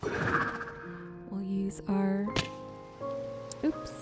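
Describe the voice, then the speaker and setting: A woman narrating her gameplay in an ASMR style, with a gentle, soothing manner. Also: medium pitch, monotone. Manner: gentle, unhurried, soothing narration of own actions. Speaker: host of a gaming channel. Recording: music behind the voice. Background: music.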